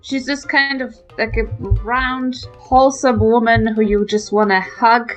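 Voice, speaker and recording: A woman's voice: fairly high pitch, little pitch movement, measured pace, faint music behind, rough recording. A woman, talking casually as a player in a tabletop game. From New Zealand. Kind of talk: casual character description.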